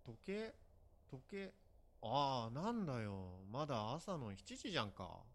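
Man playing lazy schoolboy with swooping pitch. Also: big pitch swings, a little slow. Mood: sleepy, grumbling. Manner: drowsy, whiny, casual. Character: lazy, easygoing sleepy high school boy. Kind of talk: Japanese voice drama phone-call dialogue.

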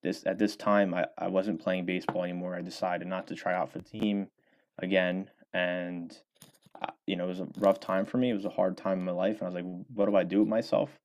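Young male author, very low voice, loose rambling delivery with lots of ums. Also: level tone, fairly quick, darkish tone. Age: 20s. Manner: rambling, many fillers and restarts. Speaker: author and former college baseball player. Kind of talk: casual interview answers.

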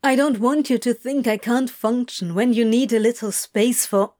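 Woman doing a halting, awkward male demon. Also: on the high side, darkish tone, close to an everyday voice. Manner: halting, mumbling, stammering. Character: lanky laid-back male demon, awkward.

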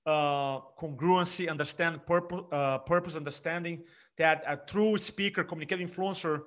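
A middle-aged man from Brazil with a Brazilian Portuguese accent, an energetic speaking coach talking emphatically in an interview. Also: on the high side, moderate pace. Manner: energetic, emphatic, frequent restarts. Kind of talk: conversational interview.

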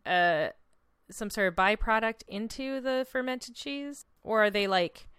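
A woman's voice: on the high side, unhurried, fairly bright tone, clear, resonant tone, no room sound, studio quality. A woman in casual, informal conversation, with a wry and playful manner. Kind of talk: casual chat.

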